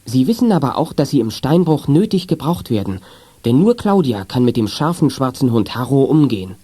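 A man's voice: mid-range voice, very animated, a little fast, dark tone. A man narrating a children's audio drama from a script, as the storyteller.

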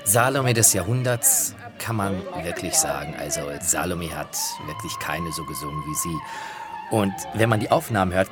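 A man presenting opera anecdotes as a music journalist, in a colloquial storytelling way. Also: fairly low pitch. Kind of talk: anecdotal spoken narration.

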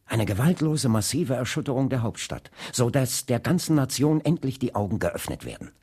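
Grave civil rights leader, husky, deliberate oratory, radio drama, big reverb. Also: male voice, medium pitch, big pitch swings, a little mellow. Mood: earnest, visionary. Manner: deliberate, oratorical, weighty pauses. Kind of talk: German radio play acting. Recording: long hall reverb.

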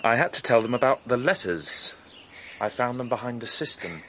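A man's voice: medium pitch, lively intonation, moderate pace, fairly bright tone, lightly acted. Guy acting an earnest young british bloke, nosy amateur sleuth, radio drama, bit of noise behind him. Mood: matter-of-fact. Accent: British English. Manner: earnest, questioning. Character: earnest young suitor turned amateur detective, inquisitive.

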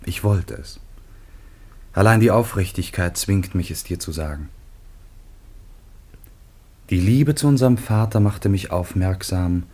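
An actor playing an earnest, devout and troubled grown son in a biblical radio drama, with measured, declamatory delivery. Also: male voice, deep voice, mellow. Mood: hesitant, earnest. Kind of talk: classic German radio drama acting.

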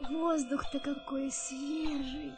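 A woman's voice: sits high, little pitch movement, slow, soft background music. An actress in a radio play playing a wide-eyed, playful unborn girl, wondering and eager for life in short childlike phrases.

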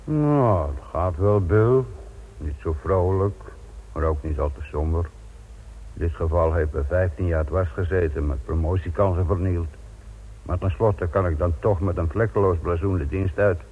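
Deep, husky older police inspector. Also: male voice, a little slow. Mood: resigned, relieved. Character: weary, principled police inspector near retirement. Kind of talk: radio drama acting. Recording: muffled, phone-like old radio recording.

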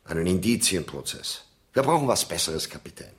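An actor in a German radio play, playing a demanding, displeased army colonel with a commanding, sardonic manner. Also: male voice, low-pitched, big pitch swings, fairly quick. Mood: stern.

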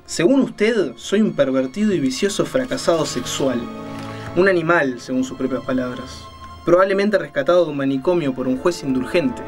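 A man's voice: on the high side, faint music behind, close to an everyday voice. Man playing a menacing, coldly courteous killer. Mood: mocking, cold. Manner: formal, deliberate, mockingly polite, interrogating. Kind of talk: dramatized audiobook reading.

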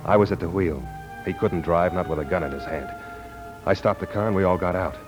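An American actor playing a hard-boiled war veteran and ex-detective in a 1940s radio noir drama. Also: male voice, low voice, brisk, muffled recording, over music, close to an everyday voice. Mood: urgent, bargaining. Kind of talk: 1940s radio noir acting and first-person narration. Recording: noisy 1940s radio broadcast recording.

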